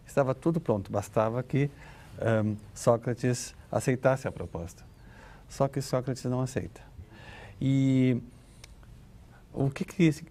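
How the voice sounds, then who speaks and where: Man, dark relaxed voice, medium pitch, didactic literary discussion, deliberate phrasing, hesitant pauses. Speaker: panel guest, academic commentator.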